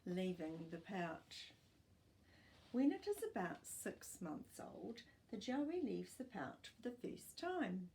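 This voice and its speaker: An older woman reading a children's non-fiction book aloud as a storytime host, with expressive, deliberate delivery for young children. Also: mid-range voice, slight background noise. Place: small furnished living room, indoors.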